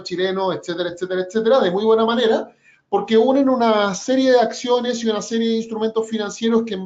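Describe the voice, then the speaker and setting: A man presenting an educational trading webinar, explaining things step by step in a measured way, with frequent pauses and "eh" fillers. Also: high-pitched, dull audio.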